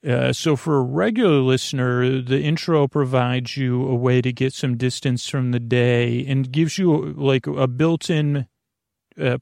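Creaky gravelly guy doing a rambly sleep podcast monologue, keeps wandering off and restarting, dry close sound. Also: mid-range voice, darkish tone, no room sound. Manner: meandering, halting, full of tangents and self-interruptions. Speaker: podcast host.

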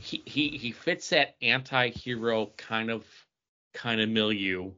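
Bright, forceful-voiced man in podcast chat. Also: fairly low pitch. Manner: halting, thinking aloud with repeats. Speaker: podcast co-host. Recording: dull, band-limited podcast audio.

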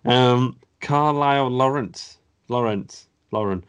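A man's voice: mid-range voice, slow, clean tone. A man co-hosting a podcast, reading out reviews with a wry, laughing delivery. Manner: wry, laughing at what he reads. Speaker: podcast co-host.

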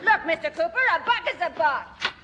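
An actress playing a blunt, slangy American household maid in a 1950s radio comedy. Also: female voice, very high voice, expressive, a little fast, pushed and bright, a faint crowd, slight background noise, heavily acted. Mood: brassy, unapologetic. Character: brassy, slangy household maid who bets on games. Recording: old radio recording in reverberant hall.

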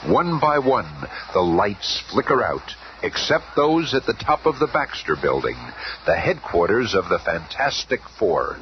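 Animated, low-voiced comic-book narrator. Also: male voice, a little slow. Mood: dramatic scene-setting. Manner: dramatic, conspiratorial. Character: excitable, theatrical male comic-book storyteller narrator. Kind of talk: scripted radio drama narration. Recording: dull, faintly noisy old radio recording.

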